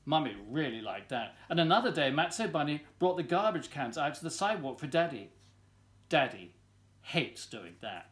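An older man in his sixties reads a children's picture book aloud. His voice sits a little high for a man and moves up and down in a lively way. He tells the story expressively and deliberately, recorded at home in a living room. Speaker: volunteer story reader.

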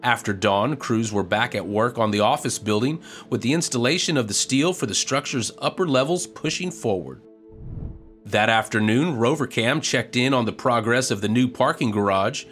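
Man narrating a measured news voice-over. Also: medium pitch, soft background music. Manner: even, measured reporting tone.

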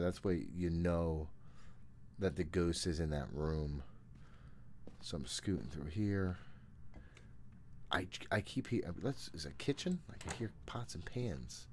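Deep-voiced nervous man gaming. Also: a little mellow, slight background noise. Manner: nervous, jokey, profane, self-narrating. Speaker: gaming streamer. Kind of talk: casual playthrough commentary of a horror game. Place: small room at home, indoors. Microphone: desk condenser mic close by, headphones on.

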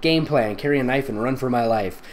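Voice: medium pitch, fairly quick, a little hiss or hum. A theatrical, sarcastic reader giving a comedic dramatic reading, breaking off into exasperated, mocking asides. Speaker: fanfiction reader, voice actor.

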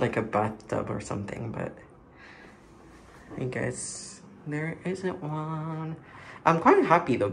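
A man's voice: medium pitch, creaky, slight background noise, low-quality recording. A young man whose first language is French, chatting casually and self-mockingly to a phone camera held at arm's length while vlogging a trip. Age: young adult. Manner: chatty, rambling, self-mocking, many fillers. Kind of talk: casual travel vlog chat. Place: hotel room and bathroom, then night street market.

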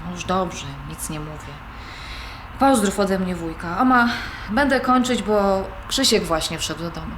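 A woman's voice: medium pitch, lively intonation, measured pace, natural voice. A woman playing an adult granddaughter with a dry, conversational manner in a naturalistic audio drama. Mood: casual. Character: Agnieszka, adult granddaughter, dry, easily irritated. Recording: very roomy, faint background noise.

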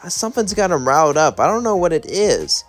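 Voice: mid-range voice, sing-song, a little fast, soft background music, pitched above the performer's own voice, natural voice. A man voicing a friendly, scheming female cartoon town mayor with a chatty, coaxing manner.

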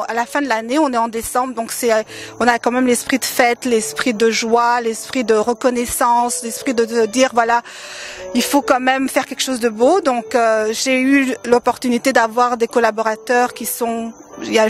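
A woman's voice: fairly high pitch, flat delivery, normal speed. A woman from Congo in her thirties, answering interview questions with many hesitations and frequent laughs, speaking into a handheld mic held by the interviewer. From Democratic Republic of the Congo. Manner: hesitant, many fillers, warm laughs between phrases. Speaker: interview guest, event organizer. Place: decorated holiday light display, indoors.

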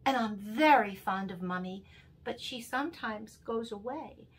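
An older woman plays a little boy from a children's storybook, earnest and fond. The voice is singsong and emphatic, confiding each line at a measured pace. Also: on the high side, slightly rough, low-quality recording.

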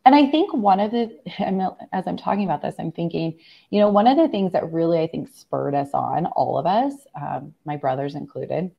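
A middle-aged American woman, hoarse from laryngitis, telling a personal story as a guest in a casual video-call interview. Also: fairly low pitch, moderate pace, lo-fi sound. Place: room at home, on a video call.

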